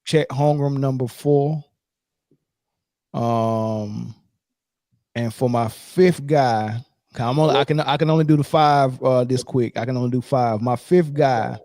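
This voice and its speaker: A man's voice: mid-range voice, fairly even intonation, measured pace, warm tone, no echo, clean studio sound. A man co-hosting a sports podcast, talking in loose slang with frequent restarts. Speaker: podcast co-host. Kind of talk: casual sports chat.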